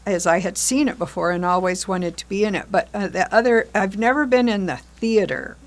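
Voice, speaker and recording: An older woman talking about storytelling and theater as an interview guest, speaking haltingly with many pauses and restarts. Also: mid-range voice. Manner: hesitant, many fillers and restarts. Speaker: guest, theater group facilitator. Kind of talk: casual interview chat.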